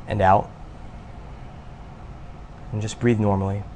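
A man guiding a breathing meditation, speaking in short, unhurried instructions with long pauses between them. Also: lowish voice. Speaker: meditation guide. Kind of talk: guided meditation, spoken instruction. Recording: faint steady background noise.

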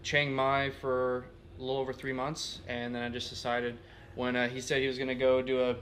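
A man's voice: medium pitch, monotone, brisk, a bit bright, a little hiss or hum. Modest, hesitant man from Texas. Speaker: guest. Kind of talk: casual self-introduction.

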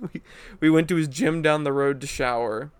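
A man's voice: fairly high pitch, normal speed, clear, resonant tone, no room sound. A young man telling stories in a casual podcast chat, laughing often and acting out what people said. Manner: animated storyteller, laughs often, acts out quotes. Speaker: podcast co-host. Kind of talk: casual chat among friends.

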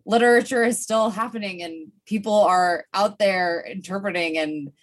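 A woman's voice: medium pitch, moderate pace. A woman hosting an interview, talking enthusiastically with many "you know" fillers. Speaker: podcast host. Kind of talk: interview chat.

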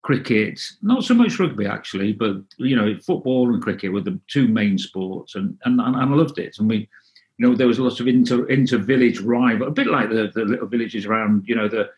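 A middle-aged man from North Wales, Welsh as his first language, answering interview questions in a halting, casual way with many restarts. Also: on the low side, expressive, a little mellow, muffled recording. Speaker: interview guest.

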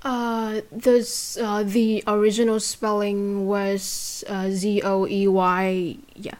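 A woman's voice: medium pitch, little pitch movement, slow. A young woman from Vietnam with a Vietnamese accent, talking as a guest in a casual interview, hesitant with many fillers and restarts.

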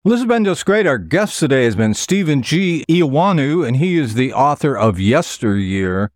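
A man's voice: mid-range voice, big pitch swings, normal speed, no room sound, studio quality. A man hosting an author interview show, with polished questions and presenting.

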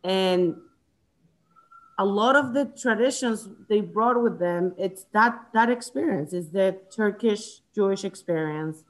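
A woman's voice: mid-range voice, a little slow. A woman from Chile discussing a book in casual conversation, with frequent fillers, restarts and hesitations. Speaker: book club member.

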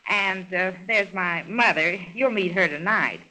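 A woman's voice: lowish voice, measured pace, pushed and bright, poor audio. An actress playing a chatty, scatterbrained small-town young woman, rambling and matter-of-fact, in 1930s radio comedy style. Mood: rambling, cheerful. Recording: vintage 1930s radio broadcast recording.

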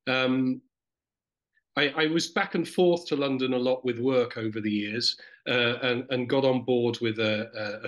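Hesitant middle-aged man, halting speech. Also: medium pitch, a bit bright. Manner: hesitant, many fillers and restarts. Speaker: guest, festival co-founder. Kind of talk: podcast conversation.

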